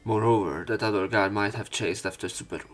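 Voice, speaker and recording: Low-voiced man reading a story aloud. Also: steady tone, a little fast, soft background music. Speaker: let's play narrator. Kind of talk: reading visual novel narration aloud.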